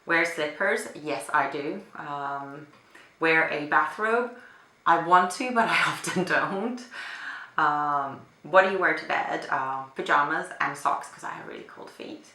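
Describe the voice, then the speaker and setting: A young woman from Finland, answering questions in a relaxed, chatty way at home, with lots of fillers and small laughs. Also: low voice, measured pace. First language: Finnish. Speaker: vlogger. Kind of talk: casual chat answering a question tag. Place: apartment room. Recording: echoey home room.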